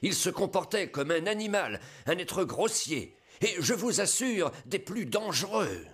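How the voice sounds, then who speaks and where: An actor playing a smooth-talking, scheming gentleman explorer in a cartoon, formal and persuasive. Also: high-pitched, big pitch swings, a little slow, exaggerated voice. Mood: formal, courteous. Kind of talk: cartoon dub acting.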